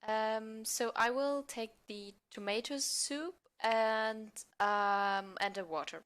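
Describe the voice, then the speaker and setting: A woman speaking as a podcast guest, improvising role-play and mock pitches in an amateur theatre manner. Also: slow, a bit bright, clear, resonant tone.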